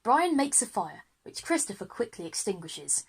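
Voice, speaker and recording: A woman's voice: medium pitch, very animated, moderate pace, breathy edge, rough recording. A woman narrating a scripted children's audio drama, reading out scene settings and action.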